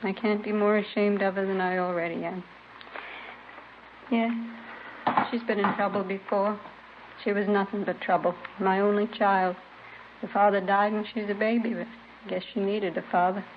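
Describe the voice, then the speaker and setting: A woman's voice: medium pitch, steady tone, moderate pace, long pauses, close to an everyday voice. An actress playing a weary, bitter middle-aged mother ashamed of her daughter, in vintage radio drama style with resigned complaining. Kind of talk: 1950s radio drama acting. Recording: noisy, muffled vintage radio recording.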